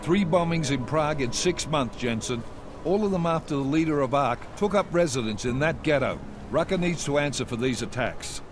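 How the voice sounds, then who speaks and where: A man's voice: fairly high pitch, animated, moderate pace, lightly acted. Man playing a stern intelligence chief over music. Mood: stern, businesslike. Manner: clipped, authoritative. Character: stern, controlled male intelligence chief giving orders. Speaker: agency director briefing agents. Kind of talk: video game cutscene acting.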